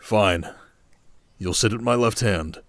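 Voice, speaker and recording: A man playing a composed desert king in an ASMR audio drama, authoritative and measured with dry wit. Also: lowish voice, a bit bright, no room sound. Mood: wry. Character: composed, dry-witted desert king leading his army.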